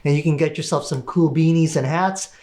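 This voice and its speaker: A man in his thirties hosting a casual vlog, chatty and quick to laugh. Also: a little high. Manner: laughing, animated storyteller. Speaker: vlog host. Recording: music underneath.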